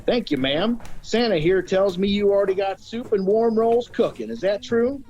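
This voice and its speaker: A man's voice: high voice, lively intonation, normal speed, mellow, muffled recording, slight background noise. A man playing a blustering, plain-spoken American restaurant owner with a folksy, bossy manner in an audio drama. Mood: hearty, eager. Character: gruff, folksy male steakhouse owner, bossy but big-hearted.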